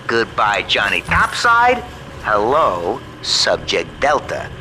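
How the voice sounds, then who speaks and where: A man's voice: on the low side, huge pitch swings, takes it slowly, music in the background, full character voice, rough recording. A man playing a slick, folksy, scheming wheeler-dealer in a video game.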